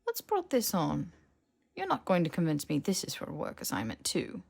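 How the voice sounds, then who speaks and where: A woman voicing a brisk, bookish, sceptical young woman in an audiobook, explaining and questioning with precision. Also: lowish voice, wildly swooping pitch, vocal fry, darkish tone, husky, heavily acted. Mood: sceptical, probing. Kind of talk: audiobook character dialogue.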